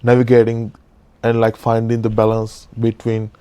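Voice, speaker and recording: A man from Sri Lanka hosting an interview, asking questions in halting phrases and often affirming the guest. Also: medium pitch, completely flat delivery, warm tone, smooth, no room sound. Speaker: podcast host. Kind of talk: interview conversation.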